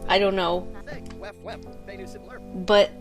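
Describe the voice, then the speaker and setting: A woman's voice: mid-range voice, flat delivery, very slow, soft background music. A young American woman chatting frankly and jokily while co-hosting a game stream. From United States. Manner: frank, giggly, blunt jokes. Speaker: co-host streamer. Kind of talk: casual game stream chat.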